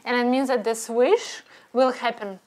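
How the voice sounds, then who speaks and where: A woman's voice: a little high, fairly even intonation, moderate pace, darkish tone. A woman co-hosting a home cooking show, asking questions in hesitant, non-native English. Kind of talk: casual cooking show banter. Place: home kitchen.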